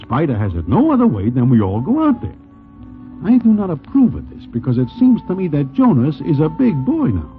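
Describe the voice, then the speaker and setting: An actor playing a wry New gangland narrator with formal slang, in 1940s radio acting style. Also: male voice, on the high side, huge pitch swings, fairly quick. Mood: dry narration. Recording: tinny 1940s radio recording, music bed.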